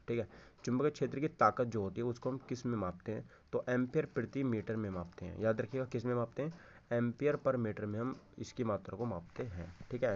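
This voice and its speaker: Low-voiced man teaching, dull recording. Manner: repeats key answers, frequent check-in tags, addresses listeners directly; mixes Hindi with English terms. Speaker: exam-prep physics teacher. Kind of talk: informal lesson, going through quiz questions.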